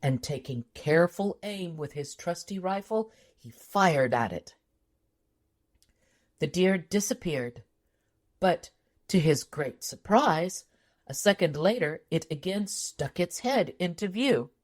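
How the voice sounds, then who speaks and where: A woman's voice: lowish voice, expressive, slow, a little mellow. A woman reading a tall tale aloud as scripted storybook narration. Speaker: audiobook narrator.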